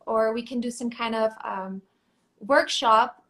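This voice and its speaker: A woman's voice: fairly high pitch, unhurried, fairly bright tone. A young woman from Mexico, a Spanish speaker, hosting a live video chat in English, friendly and enthusiastic. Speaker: pageant titleholder, live stream host.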